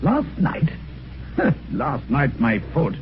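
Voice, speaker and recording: An actor playing a jovial, teasing British police inspector in a radio mystery, breezy and chatty. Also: male voice, mid-range voice, big pitch swings, extremely slow. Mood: incredulous. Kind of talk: 1950s radio mystery acting. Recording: dull, noisy old radio recording.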